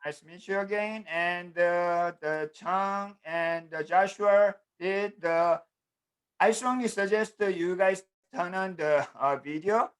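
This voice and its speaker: A middle-aged Korean man teaches a programming class over a video call, speaking English with a Korean accent. His voice sits fairly high. He stops and restarts often, filling the gaps with "uh" and "the". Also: fairly bright tone, clear, resonant tone. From South Korea. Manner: halting, with frequent fillers and repeated "the". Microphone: computer mic on a video call.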